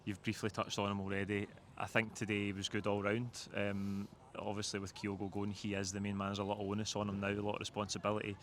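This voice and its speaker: A Scottish man with a lowish voice hosts a football reaction chat. His delivery is extremely flat but brisk, and there is faint outdoor noise behind him. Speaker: host of football fan show. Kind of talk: post-match reaction chat. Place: outdoors outside a football stadium.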